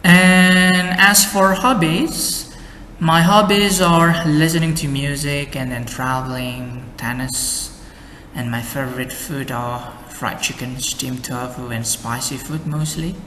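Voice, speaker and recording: A young adult man with an Indonesian accent, an English exam tutor explaining slowly and carefully with model answers. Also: fairly high pitch, fairly even intonation, sharp tone, a little hiss or hum. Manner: slow, careful explaining with many "and then" links. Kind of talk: lesson with sample answers. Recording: echoey room with faint ambience.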